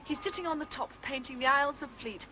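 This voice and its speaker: A woman's voice: sits high, quick pace, pushed and bright, a little hiss or hum. An actress playing a polite, eager young girl with an English accent in a radio drama. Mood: bright, helpful. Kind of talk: British radio drama acting.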